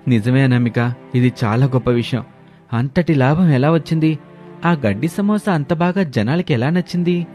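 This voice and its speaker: A man's voice: mid-range voice, normal speed, darkish tone, faint music behind, natural voice. A man voicing a curious, easygoing young husband with a questioning, mildly surprised manner in a story cartoon. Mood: impressed.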